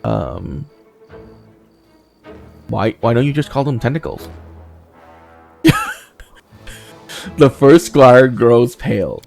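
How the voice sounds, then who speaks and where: Guy doing a casual let's play, animated voice, normal pitch, faint music behind. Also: slow, vocal fry, long pauses. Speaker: gaming video host. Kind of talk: casual game playthrough commentary, reading dialogue aloud.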